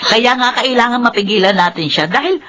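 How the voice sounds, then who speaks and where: A woman's voice: on the high side, fairly quick, bright, forceful tone. Woman playing an anxious, tearful grandmother. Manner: tearful, worried delivery. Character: anxious, pleading grandmother fearing for her family. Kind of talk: radio drama acting. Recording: clearly noisy track.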